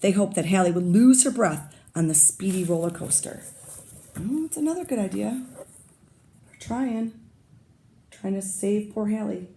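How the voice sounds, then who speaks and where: A woman teacher reading a picture book aloud to young children, pausing to comment and ask them questions. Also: mid-range voice, expressive, mellow, a little hiss or hum.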